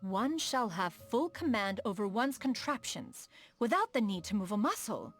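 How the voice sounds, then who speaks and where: A woman's voice: medium pitch, sing-song, moderate pace, a bit bright, faint music behind. A woman voicing a proud, refined immortal inventor in a video game, with formal, archaic and lofty diction. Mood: preoccupied, haughty. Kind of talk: scripted video game character dialogue.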